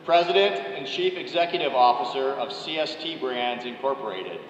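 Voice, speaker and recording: A man, a college dean, reading a measured, formal introduction of a guest speaker from a podium microphone in a large ceremony hall. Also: medium pitch, slight background noise. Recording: echoey, dull hall recording.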